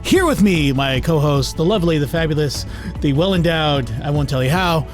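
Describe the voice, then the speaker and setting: A man's voice: fairly high pitch, lively intonation, normal speed, soft background music. A man hosting a casual show, loose and teasing, joking with his co-host. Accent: American. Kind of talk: casual chat.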